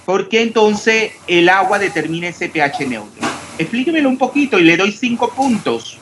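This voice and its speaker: A man from Panama, teaching a chemistry class online and questioning students. He talks about clear diction and sounds out every s. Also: high-pitched, very animated, normal speed, noisy background.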